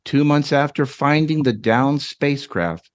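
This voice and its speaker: A man's voice: mid-range voice, measured pace, clear, resonant tone, dull audio. A man giving a dramatic reading of his own short story as a podcast co-host.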